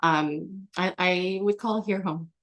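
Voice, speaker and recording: A woman's voice: lowish voice, takes it slowly, fairly bright tone. A young adult woman from Germany, a guest on an interview show, answering in a chatty way with many fillers and restarts. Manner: chatty, frequent fillers and restarts, laughs often.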